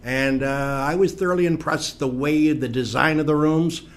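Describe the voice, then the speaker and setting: A man's voice: a little high, fairly even intonation, moderate pace. A middle-aged man hosting a local talk show, chatty and rambling, with many fillers.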